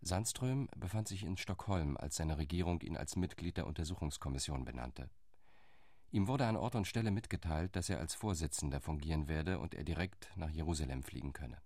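An actor playing a calculating delegate in a radio play, reporting the news in a cool, matter-of-fact way. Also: male voice, rumbling low, a little fast, darkish tone, no echo, studio quality.

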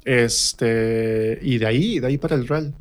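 A man with a central Mexican accent speaks at a middle pitch in a casual interview. He tells stories about himself conversationally, slipping into little re-enactments of what people said. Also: measured pace. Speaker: interview guest, stage actor. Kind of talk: casual personal storytelling in a radio interview.

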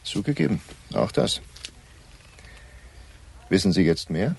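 A man's voice: deep voice, very animated, slow, darkish tone, exaggerated voice. An actor playing a self-important, needling male journalist, sardonic and smug, in a German radio crime drama. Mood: wry, confiding. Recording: clear background noise.

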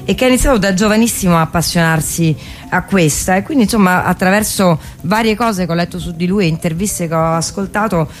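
Woman on radio talking music casually, lots of hesitating and repeating, soft music in the background. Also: lowish voice, brisk. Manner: hesitant, searching for words, repeats herself. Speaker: radio co-host. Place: radio studio. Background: faint music.

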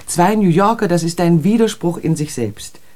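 An actress playing a disillusioned, educated woman in a literary radio drama, speaking in a measured, poetic and pensive way. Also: lowish voice, animated, a little mellow. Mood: bitter, pensive.

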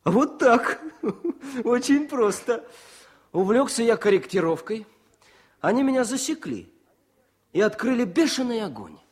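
An actor playing a modest, plucky wounded war correspondent, telling his story in halting colloquial bursts with a husky voice in a 1970s Soviet radio play. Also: very high voice, sing-song, full character voice. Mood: animated recollection.

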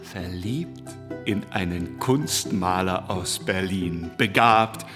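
In a long reverb with music clearly behind, an eager male series pitchman. He is chatty and insistent, selling his idea in dramatic bursts like a stage monologue. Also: slow pace. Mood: eager, persuasive. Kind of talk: theatrical radio play monologue.

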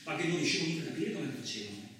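An actor playing a rough, talkative veteran truck driver in a colloquial radio theatre monologue, with a rambling, animated way of telling his story. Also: a little high, rapid-fire. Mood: vivid, wary. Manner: animated, rambling, with rhetorical tag questions. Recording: very echoey, distant stage recording, noisy.

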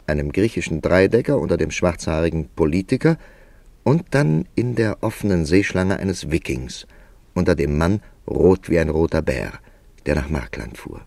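An actor playing a reflective writer who narrates his own story in a measured, literary radio play style. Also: deep voice, gravelly, a little mellow, no room sound, full character voice. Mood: pensive.

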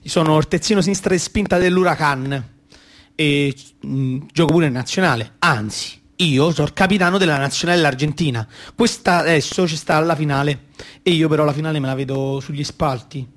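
Man playing a wistful ex-footballer, lightly high-voiced. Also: fairly quick, fairly bright tone, lightly acted. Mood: proud, matter-of-fact. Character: wistful retired Argentine footballer, twenty-nine, calling himself old. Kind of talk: colloquial theatrical monologue for radio.

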